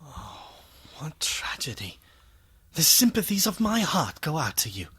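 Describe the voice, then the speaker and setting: An actor playing a courteous, concerned gentleman friend who narrates a gothic radio drama in a formal, solemn manner. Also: a little high, very animated, measured pace, breathy edge, no room sound, exaggerated voice.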